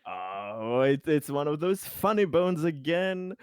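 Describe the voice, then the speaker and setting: A man improvising a glib, self-assured political schemer in a roleplaying game, halting and repeating himself as he placates people. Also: on the high side, very animated, smooth, no echo, studio quality, voice pushed up. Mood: blustering, amused.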